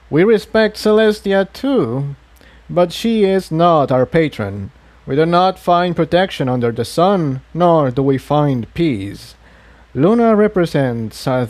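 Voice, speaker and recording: Guy doing an old unicorn priest for a story reading, kindly, earnest, explains stuff slowly and solemnly. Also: on the high side, darkish tone. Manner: measured, explanatory.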